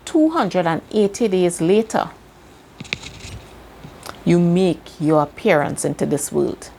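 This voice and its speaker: A woman's voice: on the low side, darkish tone, a little hiss or hum. A woman from Trinidad and Tobago with a Trinidadian accent, teaching a self-help lesson in an earnest way and repeating key words for emphasis. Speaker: self-help video host. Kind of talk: slow motivational teaching monologue.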